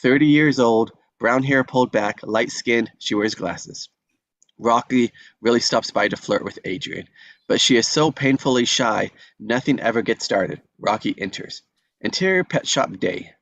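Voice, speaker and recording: A man's voice: mid-range voice, lively intonation, measured pace, creaky, a bit bright, no echo, dull audio. A man reading out stage directions as narrator in a scripted table read.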